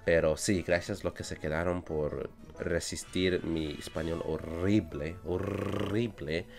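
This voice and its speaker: A young man with a low, deep voice chats casually through his Spanish, which carries an English accent. He restarts his words and laughs often, as a gaming YouTuber recorded at a desk mic. Quiet music plays faintly underneath. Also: steady tone, slow, gravelly. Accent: English-speaking learner of Spanish. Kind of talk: casual game commentary. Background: soft background music.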